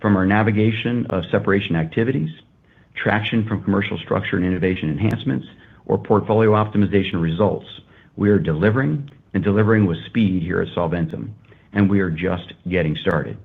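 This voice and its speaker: Through a thin, phone-like conference line comes a low-pitched male executive with a rough edge to his voice. He reads prepared business remarks in a measured, formal way. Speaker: company executive. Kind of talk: prepared corporate earnings presentation. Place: earnings conference call.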